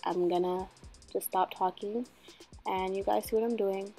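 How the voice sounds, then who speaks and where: Chatty young woman with a husky voice. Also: mid-range voice, steady tone, normal speed, mellow, faint music behind. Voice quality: slightly husky, self-described smoker's voice. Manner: chatty and joking, fillers like "um" and "like". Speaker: beauty vlogger. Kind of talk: casual hair tutorial talk. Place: bedroom at home, indoors.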